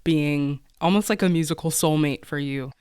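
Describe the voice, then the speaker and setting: An American man hosting a podcast, asking questions in a relaxed, hesitant way full of ums and likes. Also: fairly high pitch, normal speed, clear, resonant tone, no room sound, studio quality. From United States. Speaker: podcast host. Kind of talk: podcast interview.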